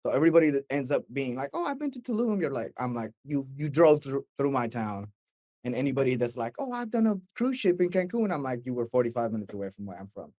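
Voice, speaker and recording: A young man from Mexico talks through his path into audio engineering in a loose, friendly interview. He tells it as a rambling story, slipping into lively quoted voices. His voice sits at an ordinary pitch, and the sound is close and dry with no room echo. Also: fast. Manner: relaxed storytelling, animated quoting with filler words. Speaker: guest, mix engineer. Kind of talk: casual interview chat.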